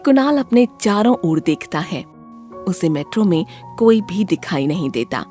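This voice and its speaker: A woman's voice: on the low side, sing-song, normal speed, over music. A woman narrating a scripted horror story as a dramatic storyteller.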